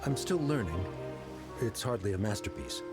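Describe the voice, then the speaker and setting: A man voicing an awkward, humble middle-aged father in a video game, speaking haltingly. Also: on the low side, sing-song. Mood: awkward, tender. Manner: hesitant, halting. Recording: loud music over the voice.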